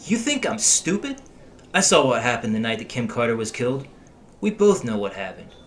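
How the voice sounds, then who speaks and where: An actor in an audio drama playing a cagey, pushy, touchy informant, insistent and edgy in manner. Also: male voice, mid-range voice, very animated, normal speed, slight background noise, natural voice. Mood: angry, threatening.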